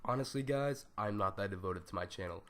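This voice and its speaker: Raspy, stuffed-up young man, rambling casually. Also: fairly low pitch, a little fast, a bit bright. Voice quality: scratchy. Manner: loose, rambling, with many ums and slang. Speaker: gaming tutorial youtuber. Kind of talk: casual screen-recorded tutorial.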